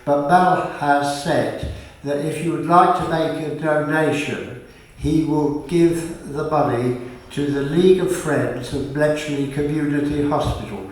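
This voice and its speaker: An echoey, distant recording of an older man addressing an audience. He speaks slowly and politely, with frequent hesitations, like a chairman giving closing thanks. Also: a little high. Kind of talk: informal closing announcement to an audience. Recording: echoey room, distant microphone.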